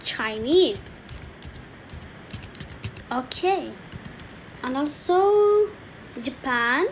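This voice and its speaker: A woman teaching an English lesson slowly, repeating each word and saying "okay" often, with keyboard typing faintly behind her. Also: high-pitched, very animated, soft background music, poor audio. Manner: slow, repetitive, saying each word twice with frequent "okay". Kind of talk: slow screen-recorded language lesson.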